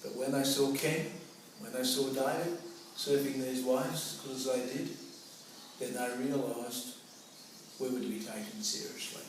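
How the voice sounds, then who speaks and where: A man's voice: mid-range voice, steady tone, a little slow, long pauses. A middle-aged Australian man telling a personal anecdote in a colloquial, theatrical way. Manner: colloquial, theatrical, acts out remembered dialogue. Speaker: storyteller. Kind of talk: animated personal anecdote storytelling. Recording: roomy, echoing, noisy distant microphone.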